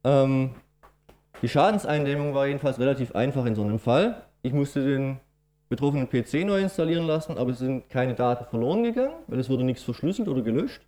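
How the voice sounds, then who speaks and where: Swabian guy presenting at a tech conference, kinda warm low-key tone, lots of ähm. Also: animated, quick pace. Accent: Swabian German. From Swabia, Germany. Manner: explanatory, matter-of-fact, with frequent "ähm" fillers. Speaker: conference speaker.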